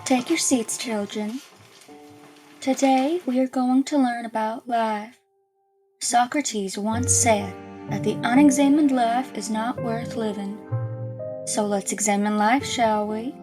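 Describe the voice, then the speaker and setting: A woman playing a stern, philosophical schoolteacher in an audio drama, with a measured, didactic delivery. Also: a little high, steady tone, over music. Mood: lecturing.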